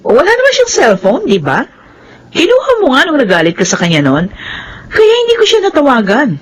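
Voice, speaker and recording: A woman playing a soft-hearted, anxious middle-aged Filipino mother and wife in a radio drama. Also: high voice, a little fast, husky, exaggerated voice. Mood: defensive. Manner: anxious, expressive. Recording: noisy radio drama track.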